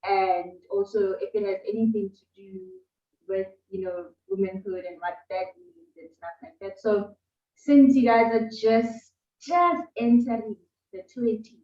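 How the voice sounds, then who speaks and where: A woman's voice: mid-range voice, steady tone, unhurried, warm tone. Bubbly woman hosting. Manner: bubbly, mixes in Zulu words. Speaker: host. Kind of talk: casual video-call interview. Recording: roomy, distant, dull lo-fi audio.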